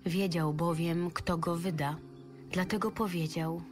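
A woman narrating scripture from a script in a solemn, deliberate manner. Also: on the low side, steady tone. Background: music.

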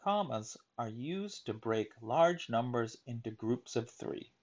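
A man reading a children's picture book aloud in a deliberate, emphatic teaching manner, adding explanatory asides. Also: medium pitch, animated, highs cut off.

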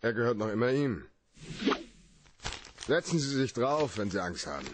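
An actor playing a tough, blunt, cool-headed private detective in a radio drama, speaking in terse commands. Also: male voice, mid-range voice, sing-song, moderate pace, noisy background, close to an everyday voice. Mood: cold, insistent.

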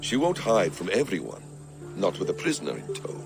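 An actor playing a shrewd, brooding warrior-scholar in a video game, speaking in a measured, sardonic, probing way. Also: male voice, deep voice, huge pitch swings, gravelly, noisy background, heavily acted. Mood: scheming. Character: wry, shrewd, brooding male warrior-scholar, a sly schemer. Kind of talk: video game cutscene acting.

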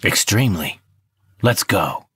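A man's voice: on the low side, lively intonation, slow, background noise. A man playing a cocky, sly space adventurer with a swaggering, teasing manner in a comedy sci-fi audio drama. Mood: urgent, serious.

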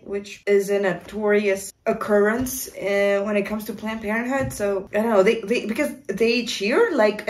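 A woman in her twenties giving a casual, exasperated and sarcastic film review to camera in a room. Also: medium pitch. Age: 20s. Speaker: video commentator. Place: small room indoors.

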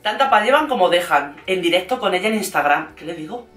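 A woman with a Castilian Spanish accent chatting casually and playfully as an online host, in an animated, gossipy, slangy way. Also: on the low side, fast, echoey room, music in the background. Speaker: YouTube host recapping reality TV. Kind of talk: casual, chatty vlog commentary.

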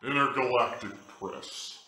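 A man's voice: mid-range voice, measured pace, pushed and bright. A man playing a bold, sceptical investor making sardonic accusations in an audio drama. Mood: accusing. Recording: echoey, music underneath.

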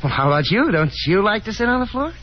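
A man's voice: high voice, very animated, fast. Friendly polite young american guy, voice bounces about, muffled old radio play. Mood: friendly, coaxing. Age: young adult. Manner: easygoing, chuckly, hesitant politeness. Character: affable, bashful-polite young gentleman caller at dinner. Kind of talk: 1950s radio drama acting. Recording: muffled, noisy 1950s radio recording.

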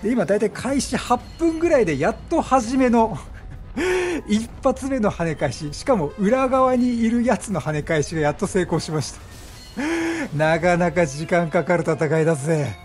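A male gaming commentator with a high-pitched voice that swings widely as he talks. He explains his play in a chatty, excitable way. Faint music plays behind him. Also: normal speed. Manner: chatty, animated, exclaims at the action. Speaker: gaming streamer commentating gameplay.

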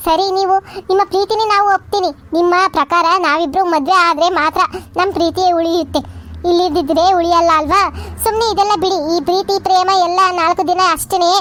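A woman's voice: very high voice, fairly bright tone. A woman voicing a tearful young woman in love who pleads with emotion in a cartoon. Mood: reproachful. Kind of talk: cartoon voice acting.

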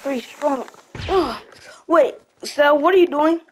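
A woman voicing an eager young boy fighter in a fan game roleplay, with drawn-out words, grunts and yelps. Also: high-pitched, slight background noise. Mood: excited, startled. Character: eager, excitable young boy fighter, anime hero's son. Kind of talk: fan roleplay acting over video game. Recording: loud music over voice.

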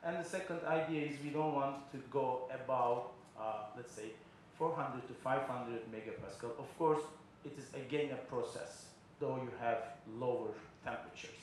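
A man's voice: mid-range voice, moderate pace, hardly any pauses, a little hiss or hum. Man lecturing in echoey hall. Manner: explanatory, frequent uh fillers, non-native phrasing. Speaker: keynote speaker. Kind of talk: conference lecture. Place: large conference hall, indoors. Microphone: podium microphone. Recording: very echoey hall with faint ambience.

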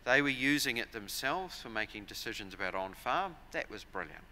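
A man in his forties with a New Zealand accent, giving a measured, explanatory conference talk at a lectern with frequent fillers and restarts. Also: mid-range voice, animated, sharp tone, slight background noise. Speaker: conference speaker. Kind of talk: conference presentation. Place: large conference hall, at a lectern. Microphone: lectern microphones.

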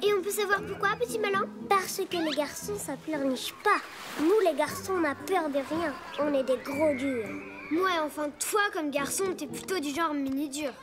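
A woman voicing a spirited, bickering child superhero in an animated cartoon, teasing and emphatic. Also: high-pitched, normal speed, background noise, natural voice. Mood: teasing, cocky. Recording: music behind the voices.

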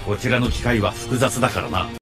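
A man's voice: mid-range voice, a little fast, music in the background, close to an everyday voice. A man voicing a composed, analytical sci-fi anime operative giving matter-of-fact briefings. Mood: businesslike.

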